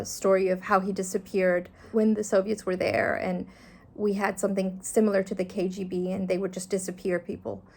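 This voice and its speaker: A middle-aged woman from Afghanistan, a journalist, talking earnestly and thoughtfully in an interview, with some fillers. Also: medium pitch. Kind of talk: reflective interview answers.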